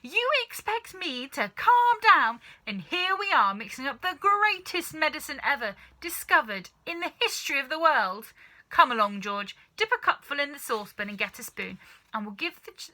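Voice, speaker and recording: A woman plays an impatient storybook father, bursting with urgent exclamations. The voice jumps high and loud, bright and forceful, bossing everyone along. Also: very animated, moderate pace, exaggerated voice. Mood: frantic, excited. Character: excitable, impatient, bossy father, a man.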